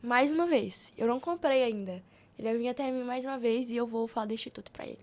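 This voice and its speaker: A young Brazilian woman with a high-pitched voice, speaking as a guest on a podcast. She tells stories informally, slipping into quoted dialogue as she goes. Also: fast talker, darkish tone. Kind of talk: casual podcast conversation, personal storytelling.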